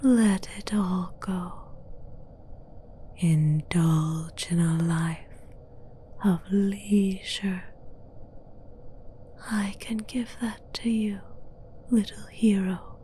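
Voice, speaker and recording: A domineering, seductive demoness acted out by a woman whispers very slowly in a soft, dark tone. Her phrasing is formal and archaic, coaxing and commanding at once. It is a breathy ASMR fantasy roleplay with faint noise behind it. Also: medium pitch, steady tone. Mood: soothing, seductive.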